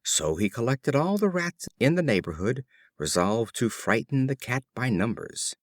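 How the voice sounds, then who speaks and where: A man narrating short fables from a script in a measured, expressive storytelling manner. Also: medium pitch, no room sound, clean studio sound. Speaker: audiobook narrator.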